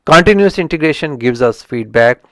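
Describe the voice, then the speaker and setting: A man's voice: fairly high pitch, expressive, dry recording. A middle-aged man from India with an Indian accent, who learned English as a second language, hosts an unscripted book review and often restarts his sentences. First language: not English. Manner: halting, with frequent restarts and self-corrections. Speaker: channel host reviewing a book.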